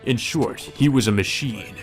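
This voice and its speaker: A man voicing a cold, bitter magus from a visual novel in measured, solemn declarations. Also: mid-range voice, soft background music, close to an everyday voice. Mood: cold, contemptuous. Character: cold, bitter adult male magus recounting a grim past. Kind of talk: visual novel character reading.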